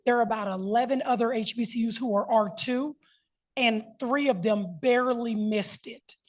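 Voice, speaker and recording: A woman's voice: fairly high pitch, moderate pace. A woman speaking as an academic panelist, giving an unscripted, conversational and emphatic answer.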